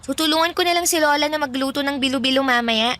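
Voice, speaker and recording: Radio drama: a timid, reluctant girl, high and bright. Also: quick pace. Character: shy, homebody teenage girl. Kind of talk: classic radio drama acting.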